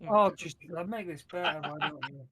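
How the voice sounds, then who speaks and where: A man's voice: on the high side, a little fast, a crowd in the background. A man playing a tabletop game, talking in casual banter that slips in and out of character.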